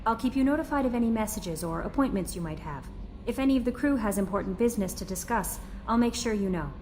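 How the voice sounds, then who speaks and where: A woman's voice: mid-range voice, animated, quick pace, a little mellow, a little hiss or hum, natural voice. A woman playing a friendly, eager young starship assistant in a video game, polite and personable. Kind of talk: video game cutscene acting.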